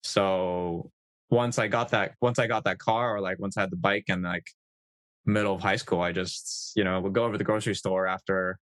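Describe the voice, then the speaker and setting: A man with a fairly low voice chats easily as a podcast guest. He talks in a loose, friendly flow full of fillers. The recording is dry and close. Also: steady tone, a little fast, fairly bright tone, no room sound. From United States. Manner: easygoing, chatty, with frequent fillers. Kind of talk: casual conversational interview.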